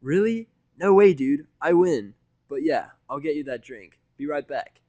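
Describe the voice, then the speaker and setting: A man's voice: a little high, expressive, unhurried, mellow, no echo, highs cut off, pitched above the performer's own voice. A man voicing a brash, cheeky young female pony in a storybook, slangy and emphatic. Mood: excited, boastful.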